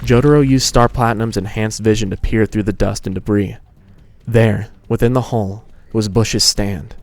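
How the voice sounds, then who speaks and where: A man's voice: lowish voice, fairly even intonation, normal speed, darkish tone. A man hosting a fan fiction reading and narrating in a casual style. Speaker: host and fan fiction reader.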